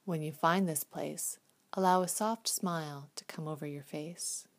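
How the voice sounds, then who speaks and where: A woman gently leading a guided visualization meditation in a soothing, hushed, unhurried manner. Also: sits low, fairly even intonation.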